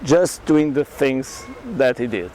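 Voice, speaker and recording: A man with a Portuguese accent and Portuguese as his first language, telling his story in halting English as an interview guest. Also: medium pitch, mellow, slight background noise, poor audio. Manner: earnest, halting non-native English with many restarts. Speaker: karate champion and entrepreneur, guest.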